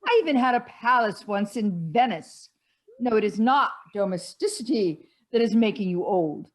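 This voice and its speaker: An actress playing a bossy, grand older society woman, declaiming emphatically and a little haltingly in a stage comedy over a video call. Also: medium pitch, expressive, close to an everyday voice. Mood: indignant, preachy.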